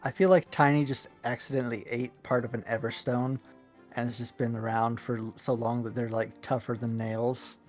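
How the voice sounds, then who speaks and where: Male player, flat even delivery, jokey theorizing, faint music. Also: medium pitch, moderate pace. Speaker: tabletop game player. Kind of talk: casual chat.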